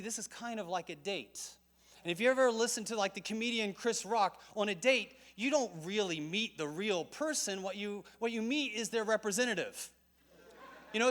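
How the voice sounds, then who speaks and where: High-voiced man giving a chatty church talk. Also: fairly bright tone. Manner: conversational and joking, with asides. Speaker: missions conference speaker. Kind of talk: conference talk to a church audience.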